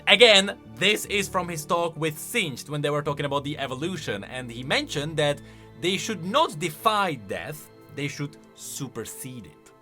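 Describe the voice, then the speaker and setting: A man giving casual, conversational reaction commentary as a video host, with an animated, questioning manner. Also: on the high side, normal speed, faint music behind. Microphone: close studio-style microphone.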